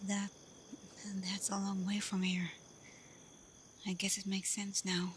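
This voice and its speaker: A confident female werewolf alpha , played by a woman, talks straight to the listener in an ASMR roleplay. Her voice is soft and breathy, often near a whisper, with little rise or fall. She takes her time, gently teasing and protective, with faint crickets and night forest ambience behind her. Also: mid-range voice, slight background noise, natural voice. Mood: frank, sympathetic. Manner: calm, unhurried, gently teasing.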